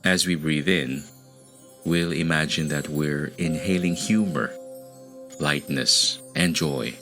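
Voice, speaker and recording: A man narrating a guided meditation in a measured, deliberate way, pausing between phrases. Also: extremely low pitch, fairly bright tone. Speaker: meditation podcast host. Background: music under the voice.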